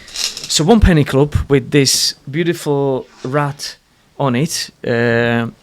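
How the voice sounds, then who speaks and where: A podcast host speaks English with a Slovak accent at a mid pitch. He talks bluntly and earnestly, often restarting his phrases, and he is not shy about swearing. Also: slow. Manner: blunt and earnest, frequent restarts, salty language. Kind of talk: casual interview conversation.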